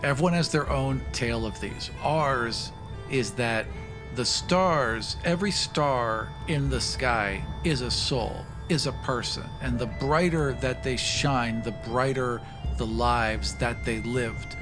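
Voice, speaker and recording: A man's voice: medium pitch, slow pace, over music. A man playing a tabletop game, improvising his character's story as he talks.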